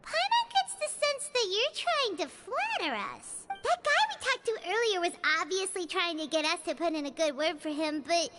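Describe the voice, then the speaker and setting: A squeaky, lively fairy companion girl. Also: moderate pace, hardly any pauses. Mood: wary. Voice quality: high childlike squeaky voice. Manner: talks about herself in third person, eager and blunt. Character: tiny floating fairy companion girl, chatty and greedy. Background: game background music.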